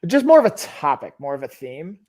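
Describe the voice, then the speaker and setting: A man's voice: on the high side, sing-song, brisk, a little mellow. A man hosting a casual livestream chat, talkative and laid-back. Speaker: podcast host, fitness coach.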